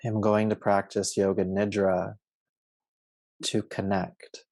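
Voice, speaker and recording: A man guiding a yoga nidra relaxation as a teacher, with a soothing, measured, instructive delivery. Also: fairly low pitch, flat delivery, creaky, darkish tone, dry recording. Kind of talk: guided relaxation meditation.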